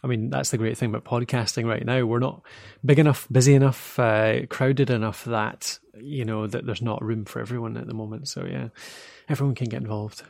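Gravelly-edged man hosting a casual interview. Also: medium pitch, fairly even intonation, a little fast, a little mellow, dry recording, studio quality. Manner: informal, uses tag questions. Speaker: podcast host, interviewer.

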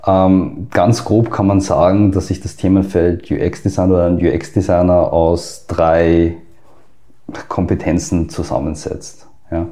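Deep, dark-voiced Austrian man. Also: level tone, measured pace. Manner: hesitant, many fillers. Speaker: guest expert. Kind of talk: explanatory interview answers.

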